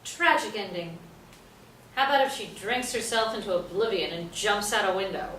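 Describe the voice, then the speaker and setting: A woman's voice: mid-range voice, normal speed, fairly bright tone, natural voice. A woman playing a wry, housebound playwright in a staged play reading. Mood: bitter sarcasm. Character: wry, housebound female New York playwright. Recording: roomy, distant mic, faint noise.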